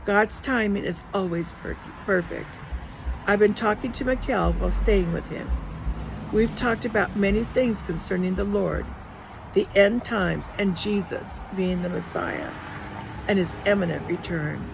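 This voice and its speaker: A woman voicing a devout man in a story, earnestly and gently reassuring his old friend. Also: mid-range voice, unhurried, gravelly, noisy background.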